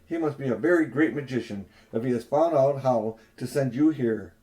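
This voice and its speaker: A man's voice: medium pitch, normal speed, warm tone, natural voice. A cold, imperious queen, as an older man reading a storybook puts her on. She speaks with haughty disdain, as if every listener were beneath her. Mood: scornful. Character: haughty cruel queen, tall and commanding.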